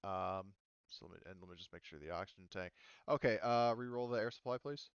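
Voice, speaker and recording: A man running a tabletop roleplaying game as game master, talking casually and haltingly as he thinks through the rules aloud. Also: deep voice, smooth, dry recording, muffled recording. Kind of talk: casual tabletop game refereeing over online session.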